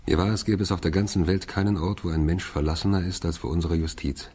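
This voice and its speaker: The solemn narrator of a radio courtroom mystery. His voice is very deep and husky, and he reflects gravely in literary phrases. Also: fairly even intonation, fast, dark tone. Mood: solemn, sombre. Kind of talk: scripted narration in a radio play.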